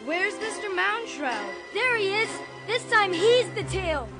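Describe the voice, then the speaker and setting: A woman playing an excitable, wide-eyed young boy on an adventure in a dramatized audiobook, full of exclamations and questions. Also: very high-pitched, unhurried, heavily acted. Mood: alarmed, excited. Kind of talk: dramatized audiobook radio theatre acting. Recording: loud music and crowd of other voices.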